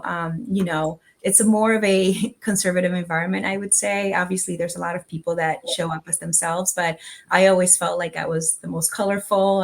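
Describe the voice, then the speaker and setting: A woman from the Dominican Republic speaks with her accent in a lower, steady register that barely rises or falls. She talks earnestly on a panel, leaning on filler phrases as she gathers her thoughts. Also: creaky. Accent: Dominican Spanish. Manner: earnest, personal, many filler "you know"s. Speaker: panelist, diversity executive. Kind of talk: panel discussion.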